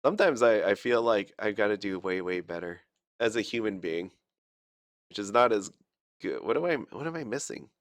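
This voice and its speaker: A young man with a lowish voice talks loosely over a game, full of fillers, restarts and self-deprecating asides. He's sick and keeps holding back a cough. The sound is dry and close. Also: animated, normal speed, no echo. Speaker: gaming channel host. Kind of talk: casual gameplay commentary.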